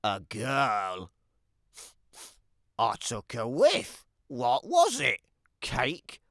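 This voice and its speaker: A woman voicing a sly, hungry, wry male wolf who tells his own tale in an animated children's read-aloud, with dramatic emphasis and playful asides. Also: a bass voice, drawn-out words, dry recording, studio quality, exaggerated voice.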